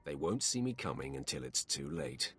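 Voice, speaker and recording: Proud Sith apprentice, deep, lively intonation, curt, menacing, video game, music underneath. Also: male voice, moderate pace, full character voice. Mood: haughty. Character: proud, ruthless young Sith warrior apprentice. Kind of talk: scripted video game acting.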